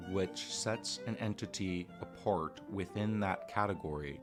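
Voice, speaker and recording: A man giving a measured, scripted voice-over commentary in a lecturing manner. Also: fairly low pitch. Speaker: commentary narrator. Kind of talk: scripted narration. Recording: music bed under voice.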